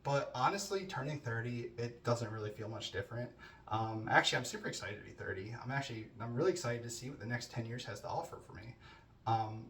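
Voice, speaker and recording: A man's voice: mid-range voice, fast talker, fairly bright tone, slight background noise. A man in his thirties from New Jersey giving a casual self-introduction to a class, talking conversationally with lots of fillers and restarts. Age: 30s. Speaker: student introducing himself. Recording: roomy, distant mic with faint ambience.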